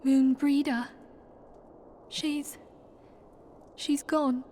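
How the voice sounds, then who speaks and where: A woman's voice: high voice, little pitch movement, extremely slow, darkish tone, slight background noise. An actress playing an earnest young woman leader in a video game cutscene. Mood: grieving, solemn.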